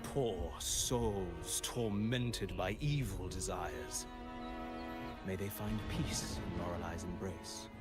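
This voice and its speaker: An actor voicing a serene yet fervent cult preacher in a video game, solemn and deliberate in phrasing. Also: male voice, low voice, loud music behind the voice. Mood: solemn fervour.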